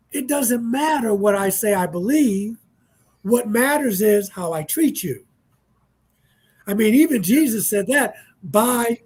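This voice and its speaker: A man's voice: very high voice, a little mellow, slightly rough. A man in his sixties, a panel guest speaking in a reflective, easygoing way on a casual radio talk show. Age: 60s.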